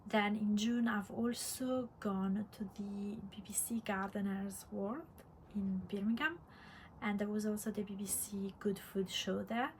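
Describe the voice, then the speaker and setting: Female vlog host, Italian accent, average pitch, reflective and conversational with repeated words; some background noise. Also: steady tone, measured pace, rough recording. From Italy. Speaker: podcast host, crafter. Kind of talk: casual vlog chat. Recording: clear background room noise.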